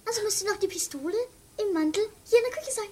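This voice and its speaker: A woman playing a flustered, naive household cook in a comic radio play, stammering and chattering excitably. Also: extremely high pitch, fast talker. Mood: smug.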